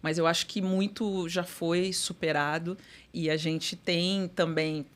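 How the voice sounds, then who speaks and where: A middle-aged woman with a low voice talks through her ideas as an interview guest. She is thoughtful and halting, with frequent fillers and restarts. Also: steady tone. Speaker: guest executive. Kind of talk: conversational interview.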